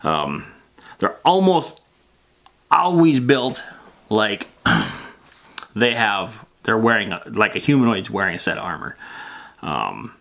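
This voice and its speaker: A man's voice: on the low side, big pitch swings, takes it slowly, creaky, long pauses, a bit bright. A man hosting a casual, loosely improvised explainer on fantasy gaming, talking in a rambling, plain-spoken, matter-of-fact way. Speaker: host of a tabletop roleplaying lore show.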